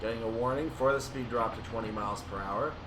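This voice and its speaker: A man giving casual commentary on a train simulator, thinking aloud and explaining in an unhurried way. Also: medium pitch. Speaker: gaming video creator. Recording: distant mic, clear background noise.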